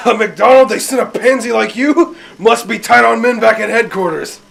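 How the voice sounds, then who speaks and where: A man's voice: very high-pitched, normal speed, gravelly, over music, exaggerated voice. A man playing a mocking, blunt police chief in an amateur radio drama. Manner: sneering, blunt, sarcastic.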